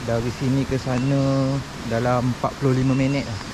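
A man's voice: mid-range voice, flat delivery, normal speed, background noise. A man with a Malaysian accent narrating a family camping vlog in a casual way.

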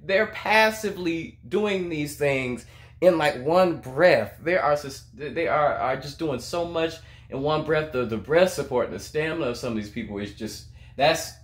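A man's voice: a little high, normal speed. Effusive young man, echoey room. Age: young adult. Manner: effusive, emphatic, repeats words and phrases. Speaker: reaction video host, singer. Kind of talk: casual music reaction commentary. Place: small room at home, indoors.

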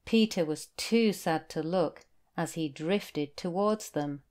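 A British woman teacher narrating a children's storybook for learners in a deliberate, expressive way. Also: lowish voice.

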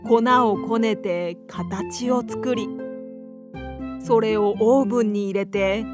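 A woman's voice: mid-range voice, fairly even intonation, takes it slowly, music in the background. A woman reading a scripted children's storybook narration.

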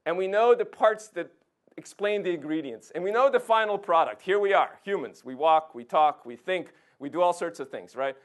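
Middle-aged man lecturing, higher-pitched voice. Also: expressive, moderate pace. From Serbia, former Yugoslavia. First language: Serbian. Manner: conversational, checks in with "okay" and "right". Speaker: physics professor giving a public lecture. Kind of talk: informal explanatory science lecture. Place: indoor lecture room, talking beside a projection screen.

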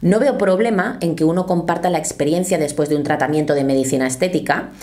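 A woman's voice: low voice, quick pace, mellow. A woman, talking earnestly to camera from a small home studio and reading quotes aloud. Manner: earnest, explanatory, gestures with her hands. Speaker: youtuber commentator.

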